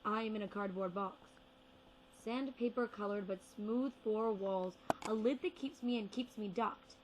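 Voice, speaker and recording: A young woman performing spoken word poetry with deliberate, rhythmic phrasing and repeated words. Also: mid-range voice, slight background noise, rough recording. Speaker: slam poet.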